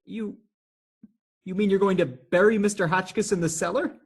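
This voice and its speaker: An actor playing a frantic, appalled nephew in a comedy radio play, flustered and stammering. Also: male voice, high voice, moderate pace, slightly rough. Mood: frantic alarm. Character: frantic, appalled nephew of two elderly aunts. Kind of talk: stage comedy radio theatre acting.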